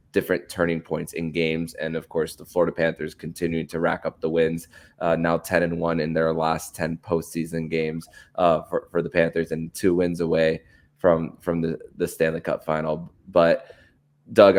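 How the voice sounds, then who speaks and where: A man hosting a sports podcast, talking in a halting way with repeated words and small stumbles. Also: low voice, darkish tone. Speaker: podcast host.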